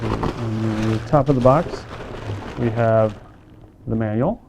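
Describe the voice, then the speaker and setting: A man casually demonstrating a radio kit, plain-spoken and relaxed, with frequent fillers. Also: lowish voice, slow, vocal fry, noisy background. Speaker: company staff demonstrating a kit.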